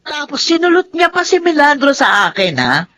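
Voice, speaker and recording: An actress playing a quarrelsome, spiteful older woman in a TV drama, loud and bickering. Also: high voice, sing-song, normal speed, exaggerated voice. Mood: resentful. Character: sharp-tongued older woman, spiteful feuding neighbor (Zenaida). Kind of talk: Filipino TV drama acting.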